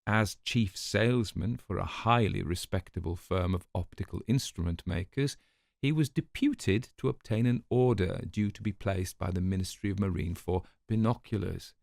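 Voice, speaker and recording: An urbane older storyteller in a radio drama. His voice is low and gravelly, recorded close and dry. He speaks with precise, ironic relish, like a sardonic man of the world. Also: normal speed, a little mellow, dry recording. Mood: dry amusement.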